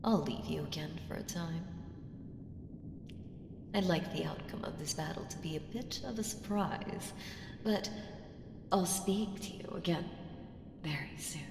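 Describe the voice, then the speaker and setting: A woman voicing an ancient, haughty evil entity in a slow ASMR audio roleplay, deliberate and teasing, slipping into breathy whispers. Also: deep voice. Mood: teasing anticipation. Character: ancient evil female entity, powerful, haughty, coolly menacing patron. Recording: echoing long hall reverb, ambient hiss.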